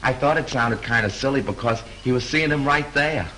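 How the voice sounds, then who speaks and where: Guy playing a breezy bellhop spinning a yarn, bright tone, 1930s film hiss. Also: mid-range voice, fairly quick, natural voice, rough recording. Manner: breezy, slangy storyteller. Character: chatty young male hotel bellhop. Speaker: hotel bellhop. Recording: hissy 1930s film soundtrack.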